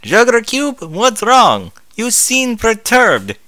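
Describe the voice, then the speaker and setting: A man's voice: very high-pitched, wildly swooping pitch, slow pace, bright, forceful tone, no echo, studio quality, pitched above the performer's own voice, full character voice. A man voicing a folksy, frank farm mare and reassuring wife in a story, blunt but affectionate, with a put-on American Southern accent. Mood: tender.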